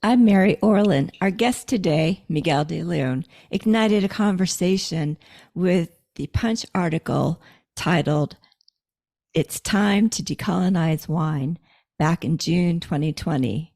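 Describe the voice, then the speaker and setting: Mellow woman reading a guest intro. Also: fairly low pitch, a little slow. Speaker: podcast co-host.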